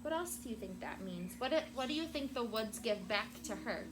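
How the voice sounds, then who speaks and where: A woman teacher reading a storybook aloud to children in a classroom, with expressive, deliberate phrasing and prompting questions. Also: fairly high pitch. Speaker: elementary school teacher. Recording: very noisy classroom recording.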